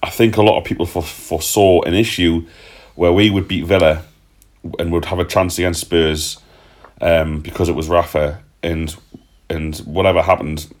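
A man's voice: deep voice, steady tone, normal speed. A man hosting a casual football podcast, reading out listener questions and answering them in a chatty, loose way with the odd swear. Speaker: podcast host.

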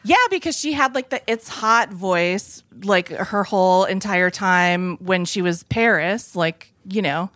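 A woman co-hosting a podcast, playful and quick to giggle. Her voice sits at an ordinary middle pitch. The recording is close and dry. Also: fairly bright tone, dry recording. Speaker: podcast co-host. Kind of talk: casual chat.

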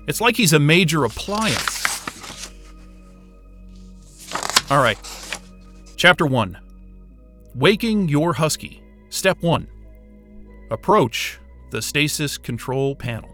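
An actor playing an irritable, sarcastic, sweary astrophysicist in a science fiction audio drama. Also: male voice, fairly high pitch, sing-song, slow pace, long pauses, over music. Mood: grumbling, puzzled. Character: Wayne, irritable sarcastic astrophysicist, adult man. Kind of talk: naturalistic audio drama acting.

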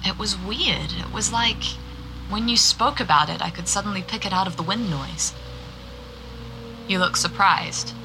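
An actress with a New Zealand accent playing a chatty, curious and friendly local interviewer in a naturalistic audio drama. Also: female voice, mid-range voice, normal speed, noisy background, natural voice. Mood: puzzled. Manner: chatty, inquisitive.

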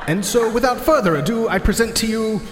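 A man's voice: high-pitched, brisk, natural voice. A man giving a mock-formal scripted announcement as a show's announcer, pompous and solemn. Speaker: announcer introducing a podcast's Christmas special. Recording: echoey hall with noise and crowd.

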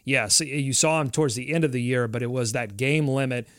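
A man's voice: medium pitch, fairly even intonation, brisk, dry recording, studio quality. A man co-hosting a sports podcast in casual discussion, conversationally thinking aloud as he talks.

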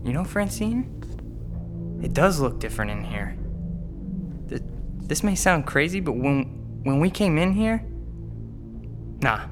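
An actor playing an anxious, halting young man trapped in an apartment in an audio horror drama. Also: fairly high pitch, big pitch swings, music in the background. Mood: uneasy. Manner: halting, muttering, breaking off sentences.

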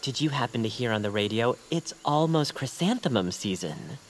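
A woman's voice: rumbling low, animated, moderate pace, faint music behind. A woman acting the part of a timid, polite, fretful friend with hesitant, genteel, old-fashioned phrasing in an audio drama. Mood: polite, gentle. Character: timid, fretful, polite friend named Noah, afraid of heights. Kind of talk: audio fiction acting in a quaint old-radio manner.

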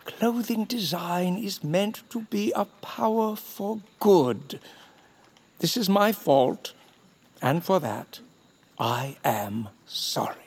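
An actor playing a theatrical, self-serious fashion tailor in a family audio drama, with a dramatic, drawn-out delivery. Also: male voice, high voice, lively intonation, a little mellow, breathy edge, full character voice. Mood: solemn remorse.

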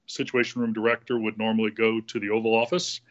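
Low, even-toned American man, dull audio. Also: fast talker. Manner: methodical, step-by-step explaining with frequent hesitations. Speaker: former government official, interview guest. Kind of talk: explanatory interview talk.

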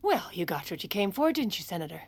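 A woman's voice: medium pitch, big pitch swings, fast. An actress playing a steely, protective First Lady in an audio drama, composed and commanding in both dialogue and confiding narration. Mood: icy, firm. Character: steely, protective First Lady covering for her ailing husband. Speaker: lead character and narrator. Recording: music under the voice.

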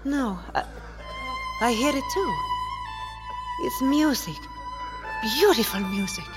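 A young wartime nurse in an audio drama, caring and concerned. The voice is fairly high and very expressive in pitch, with clear violin music behind. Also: female voice, slow pace, exaggerated voice. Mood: wondering. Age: young adult.

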